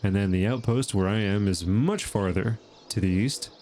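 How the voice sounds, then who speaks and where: A man's voice: on the low side, moderate pace, a little mellow, slight background noise. Chatty man with a stuffy nose. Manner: chatty, thinking aloud with fillers. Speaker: gaming channel host. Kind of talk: casual gameplay commentary.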